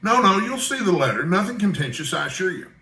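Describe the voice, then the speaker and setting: An eager young reporter, acted out by a man reading aloud in a storybook narration. He is courteous, earnest and sure of himself. His bright voice carries clear room echo. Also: high voice, big pitch swings, fast talker, pitched above the performer's own voice, full character voice. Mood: reassuring. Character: Malone, eager, polite, self-assured young male newspaper reporter. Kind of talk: audiobook character dialogue.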